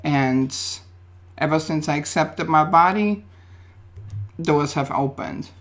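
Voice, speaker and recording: Woman, Austrian accent, low pitch, determined, upbeat, interview guest. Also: slow. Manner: upbeat, determined, speaks in short affirmations. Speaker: guest, plus-size model. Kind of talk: interview answers.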